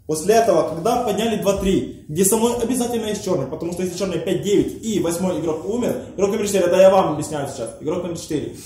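High mellow guy ranting at the players, echoey room. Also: very fast, hardly any pauses. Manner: heated, scolding, lectures the table. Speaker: mafia game player. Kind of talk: heated game argument. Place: room with a round table, indoors. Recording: echoey room with faint hiss.

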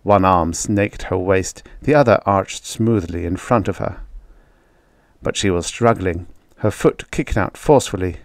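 A man's voice: low voice, unhurried, vocal fry, no room sound, studio quality. A man reading a vintage short story aloud as scripted audiobook narration, with a dramatic storytelling delivery.

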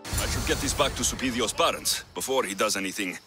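An actor playing a wry, tough Greek mercenary in a video game, with a dry, sardonic delivery. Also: male voice, on the low side, fast, over music, lightly acted. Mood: playful, smug. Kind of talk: video game cutscene acting.

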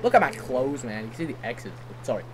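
A man's voice: mid-range voice, big pitch swings, quick pace, a crowd in the background, rough recording. A man giving casual, rambling gameplay commentary, self-deprecating and given to swearing. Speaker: let's play commentator. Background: game music.

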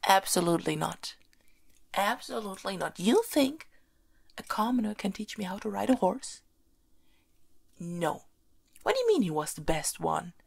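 A woman performing an ASMR roleplay as a haughty, teasing, demanding young princess, soft-spoken and close, often slipping into a whisper. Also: medium pitch, normal speed, pauses often, exaggerated voice. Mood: indignant. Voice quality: breathy, often dropping into whispers. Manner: playfully bossy, imperious, expressive.

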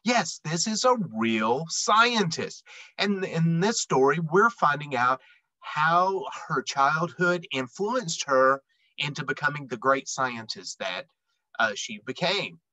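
A middle-aged man reading a children's picture book aloud expressively, with emphatic, dramatic phrasing and deliberate pauses. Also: fairly high pitch, animated, sharp tone, dry recording, poor audio. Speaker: read-aloud storyteller.

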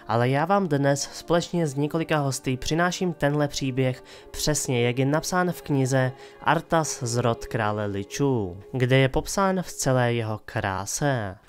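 A man hosting and narrating a scripted, dramatic fantasy story. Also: medium pitch. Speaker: narrator and host. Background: faint background music.

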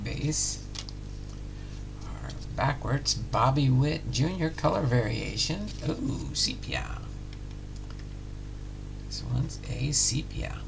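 A middle-aged man calmly calling out each trading card as he opens packs at home. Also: medium pitch, creaky, noisy background. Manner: unhurried, announcing each card plainly. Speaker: card-breaking channel host. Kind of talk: casual pack-opening commentary. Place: home room with card displays.

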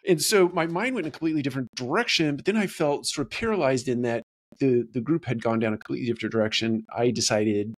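A man talks to camera as a host, explaining in a reflective, deliberate way with repetition for emphasis. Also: mid-range voice. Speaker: host of a business video series. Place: small home office, indoors.

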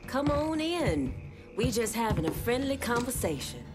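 A woman's voice: a little high, sing-song, moderate pace. An actress playing a cool, self-assured female crime boss, challenging and defiant, in a video game. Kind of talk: dramatic video game acting. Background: music.

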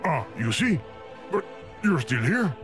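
A man's voice: on the high side, sing-song, measured pace, over music. An actor voicing an exasperated male game character pleading with the player.

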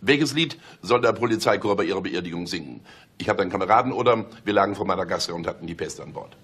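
Deep-voiced, sardonic police chief. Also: male voice, fast, fairly bright tone. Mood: brusque, sardonic. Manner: mock-polite, ironic, barks orders. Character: sardonic, commanding male police chief inspector. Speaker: actor in a radio crime drama. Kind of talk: German radio play acting.

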